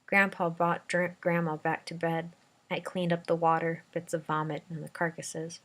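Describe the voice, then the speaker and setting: A woman's voice: on the low side, little pitch movement, moderate pace, a bit bright. A woman reading a dramatic first-person story aloud as an amateur narrator.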